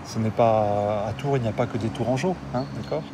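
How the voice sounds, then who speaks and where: A man's voice: on the low side, brisk, recorded from a distance. Man speaking off-mic over street noise. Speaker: expert interviewee. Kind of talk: interview.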